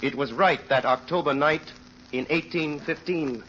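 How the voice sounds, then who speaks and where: A man narrating an old radio drama as a solemn, brooding storyteller, measured and rhetorical. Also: fairly high pitch, noisy background, lightly acted. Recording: muffled old radio recording with music.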